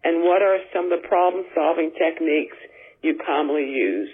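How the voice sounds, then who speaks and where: Woman caller leaving a voicemail, tinny phone line, a bit husky, reads her question out slowly and earnestly. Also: sits low.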